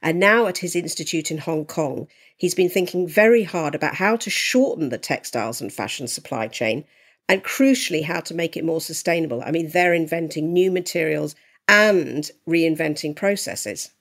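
A woman hosting an interview podcast, her voice sitting low for a woman. She introduces her guest and asks questions in a measured, articulate manner. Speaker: podcast co-host.

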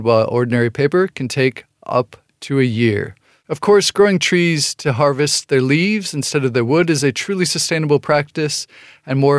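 A man's voice: mid-range voice, no room sound, studio quality. A man hosting a radio show, reading an upbeat segment and interviewing a guest.

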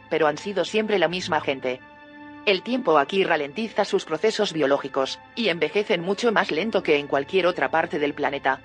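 A woman's voice: low voice, natural voice. Earnest, cautious woman researcher played by an actress. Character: earnest, cautious female researcher reporting unsettling findings. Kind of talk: audio drama dialogue.

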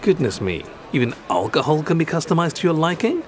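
A man's voice: fairly high pitch, sing-song, fairly quick. A man hosting a podcast in scripted narration, explaining things in articulate, rather formal phrasing. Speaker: podcast host.

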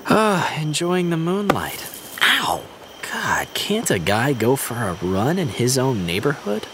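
A man's voice: medium pitch, wildly swooping pitch, slow pace, a bit bright, slight background noise, exaggerated voice. A man playing a flippant, theatrical vampire in a fiction podcast, with sardonic, playfully menacing banter. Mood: pained, flustered.

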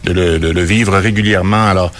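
A man's voice: low voice, steady tone, a little fast, fairly bright tone. A man member of parliament raising a point of order in a committee, formal and firm.

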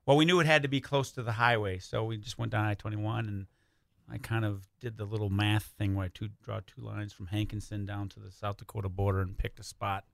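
A man's voice: fairly low pitch, fairly even intonation, fairly quick, creaky, no echo. A man speaking casually and informally as a radio interview guest, telling stories in a studio. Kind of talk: casual conversational storytelling. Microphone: close studio microphone.

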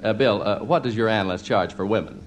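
A man's voice: lowish voice, fast talker, close to an everyday voice. Man acting a blustering husband in noisy old radio comedy. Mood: exasperated. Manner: blustering, emphatic. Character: stubborn, overconfident, exasperated middle-aged husband lost driving.

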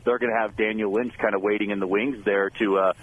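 A man's voice: fairly low pitch, fairly even intonation, fast talker, narrow, tinny audio, slight background noise. Easygoing man reporting in remotely. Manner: easygoing, analytical. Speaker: team beat reporter. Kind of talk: remote radio interview. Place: spring training site, remote line.

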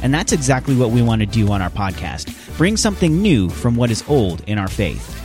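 A man's voice: mid-range voice, very animated, fairly quick. A man co-host reading a scripted promo for a podcast. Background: music.

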